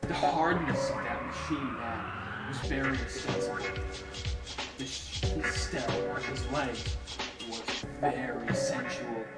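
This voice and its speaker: A man's voice: mid-range voice, slow pace. A man giving a mock-serious, theatrical dramatic reading of fan fiction. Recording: roomy, distant mic under loud music.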